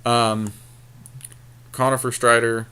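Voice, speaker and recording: A man's voice: medium pitch, level tone, takes it slowly, bright, forceful tone, clean tone. A man co-hosting a podcast, talking casually and thinking aloud as he comments on a card game draft. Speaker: podcast co-host.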